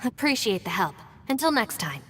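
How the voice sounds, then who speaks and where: A woman playing a composed, shrewd young female deputy in a video game, speaking in a measured, polite, matter-of-fact way. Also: medium pitch, very animated, in a large hall, soft background music, close to an everyday voice.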